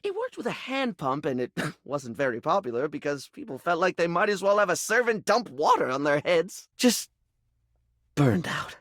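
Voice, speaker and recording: Game role: camp, scatterbrained male living toiletry, affectionate but insecure; light high voice, wildly animated pitch, dry close sound. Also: moderate pace, dry recording. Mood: chatty, theatrical. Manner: theatrical, gushing, rambling, camp endearments. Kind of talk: video game character acting.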